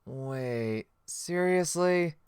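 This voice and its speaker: A man's voice: fairly high pitch, expressive, very slow, darkish tone, smooth, no echo, clean studio sound. An actor playing a cocky, boastful young male spear warrior in a fantasy audiobook. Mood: indignant. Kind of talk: audiobook character acting.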